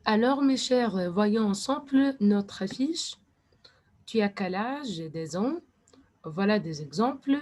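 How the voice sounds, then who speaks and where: A woman French teacher walks children through a worksheet, speaking very slowly. She draws each word out with care. Now and then she slips into English to explain. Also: medium pitch, animated, darkish tone. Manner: slow, deliberate, switching to English to explain. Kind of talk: classroom lesson.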